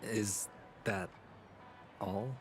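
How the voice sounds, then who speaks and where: A smooth, teasing schemer. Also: male voice, lowish voice, extremely slow. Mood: amused. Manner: amused, laughing softly, sometimes whispering. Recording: game audio with background noise.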